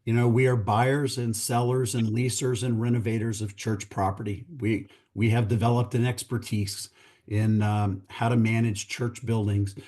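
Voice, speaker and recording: A middle-aged man, hoarse from losing his voice, giving an earnest, conversational presentation to pastors over a video call. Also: mid-range voice, level tone, normal speed, warm tone. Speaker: church real estate company founder.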